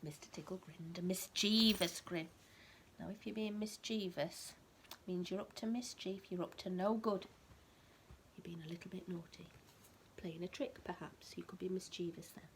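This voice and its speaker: A woman's voice: fairly low pitch, moderate pace, slight background noise. A woman reading a storybook expressively to a small child, explaining things in simple words as a teacher would. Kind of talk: animated storybook read-aloud with asides explaining words.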